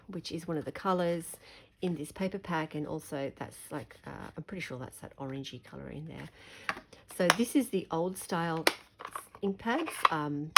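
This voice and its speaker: An Australian woman with a slightly croaky voice, chatting through a hands-on craft tutorial at her desk. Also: sits low, heavy background noise. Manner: chatty, relaxed, thinking aloud. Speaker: craft demonstrator.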